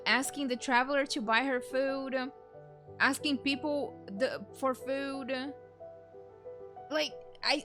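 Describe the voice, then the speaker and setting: A woman game streamer chats casually over her playthrough. Her voice is high, and faint music plays behind her commentary. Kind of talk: casual let's play commentary.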